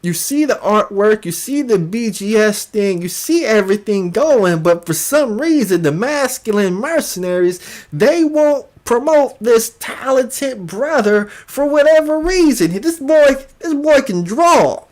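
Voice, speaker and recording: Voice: medium pitch, expressive, measured pace, a little mellow. A livestream host giving casual commentary in an emphatic way, often repeating phrases for emphasis.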